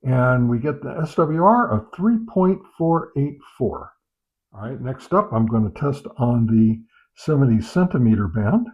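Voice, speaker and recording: A dull, lo-fi recording of a man with a slightly low-pitched voice. He hosts a hands-on product test, talking off the cuff, with hesitant pauses and well-worn phrases as he goes. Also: big pitch swings. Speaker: host of a radio gear review channel. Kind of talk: unscripted product test commentary. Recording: dull, muffled lo-fi sound.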